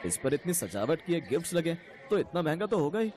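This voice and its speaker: A man's voice: fairly high pitch, very animated, brisk, darkish tone. A man voicing humble, earnest working men in a cartoon family story, explaining himself plainly. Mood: matter-of-fact.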